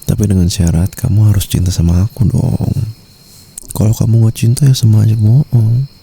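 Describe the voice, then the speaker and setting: Male actor as seductive Indonesian mafia husband, ASMR roleplay. Low, gravelly, doting and teasing, breathy up close, faint cricket ambience behind. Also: fairly even intonation, normal speed, dark tone, slight background noise. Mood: teasing, flirtatious. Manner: intimate, teasing drawl, often dropping into breathy whispers.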